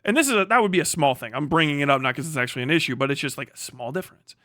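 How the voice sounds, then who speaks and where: A man's voice: a little high, expressive, rapid-fire, pushed and bright, dry recording, clean studio sound. A man hosting a livestream in casual chat, rambling along and swearing freely. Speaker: livestream host.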